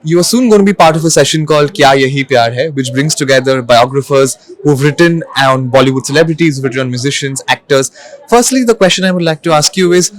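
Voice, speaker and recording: A quick-talking man with a fairly high voice. He interviews a guest at a literature festival and delivers prepared questions smoothly, without stumbling. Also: no room sound. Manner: fluent, polished questioning.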